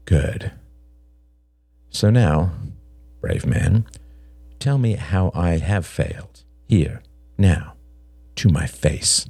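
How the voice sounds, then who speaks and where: A man voicing a cold, aggrieved father in an audiobook drama, deliberate and contemptuous as he confronts a rival. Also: low voice, animated, warm tone, slightly rough, no room sound, full character voice. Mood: measured challenge.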